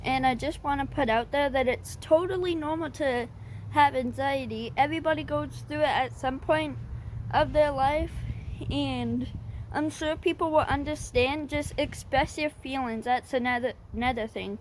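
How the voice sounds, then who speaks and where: A woman vlogger giving casual advice, talking in a halting, hesitant way with stutters and restarts. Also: sits high, a little hiss or hum, rough recording. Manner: hesitant and plain-spoken.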